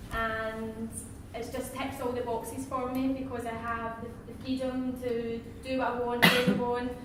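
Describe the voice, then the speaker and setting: A Scottish woman from Glasgow answering questions on stage as a guest speaker, plainly and earnestly. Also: fairly high pitch. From Glasgow, Scotland. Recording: echoey hall, distant mic, noticeable hiss.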